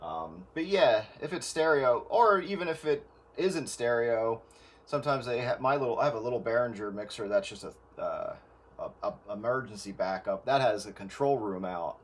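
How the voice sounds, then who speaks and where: A man's voice: medium pitch, animated, normal speed. A man giving casual, explanatory technical advice in an informal chat over the radio. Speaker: audio gear hobbyist giving advice.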